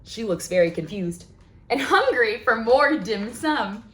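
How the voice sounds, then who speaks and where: Female storytime host for little kids: high, very lively, sing-song delivery, unhurried, in an echoey room. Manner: sing-song, exaggerated, talking to young children. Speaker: children's librarian, storytime host. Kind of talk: read-aloud storytime for young children.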